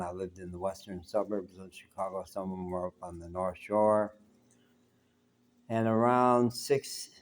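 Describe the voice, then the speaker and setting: A man's voice: low voice, darkish tone, a little hiss or hum. An older man from the United States, talking in a reflective, rambling way to camera from his apartment, saying he has a big voice. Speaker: vlogger. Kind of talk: reflective solo talk.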